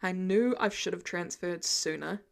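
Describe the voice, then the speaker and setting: A woman's voice: on the low side, unhurried, vocal fry, pitched below the performer's own voice. A teenage best friend, voiced by a woman, headstrong and protective. He has a dry, teasing delivery suited to an audiobook. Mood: indignant.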